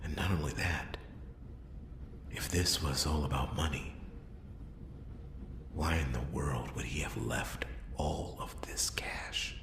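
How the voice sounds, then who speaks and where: A man voicing a brooding noir detective in a hushed ASMR roleplay, narrating a murder mystery in a breathy near-whisper. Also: very deep voice, full character voice. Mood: suspicious, ominous. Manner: slow, deliberate, hushed storytelling. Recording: roomy echo, clear background noise.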